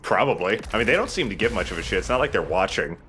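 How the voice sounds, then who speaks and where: Bright-voiced man, lively game commentator. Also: lowish voice, rapid-fire, slight background noise. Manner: irreverent, profane. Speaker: let's play host. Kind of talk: casual joking game commentary.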